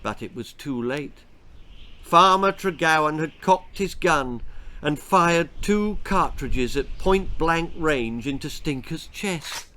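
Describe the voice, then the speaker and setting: A man's voice: fairly high pitch, expressive, slow pace, pushed and bright. A man with an English accent reading a children's story aloud as a dramatic storyteller. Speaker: author reading own story.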